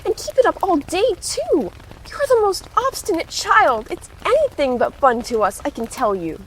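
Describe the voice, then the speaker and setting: Flustered, furious nursemaid played by a woman narrator; shrill storybook scold with extreme swings in pitch. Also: big pitch swings, moderate pace, a little hiss or hum, low-quality recording. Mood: angry scolding. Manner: rude, scolding, exclamatory. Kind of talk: dramatized storybook reading.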